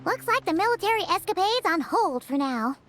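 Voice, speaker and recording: A woman voicing a tiny, chirpy fairy girl companion with a squeaky, childlike voice in an anime game. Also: sing-song, fairly quick, a bit bright, soft background music, exaggerated voice. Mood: grudging. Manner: refers to self in third person, exclamatory.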